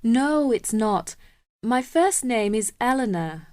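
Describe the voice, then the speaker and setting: A woman reading scripted English listening exercises deliberately, spelling words out letter by letter. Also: on the high side, lively intonation, darkish tone. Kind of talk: scripted slow textbook dialogue.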